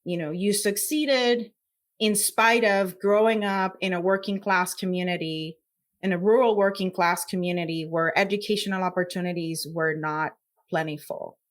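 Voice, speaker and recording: A woman's voice: on the low side, steady tone, normal speed. A woman from Puerto Rico with a Puerto Rican accent, giving reflective, emphatic answers as an interview guest. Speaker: podcast guest, scientist.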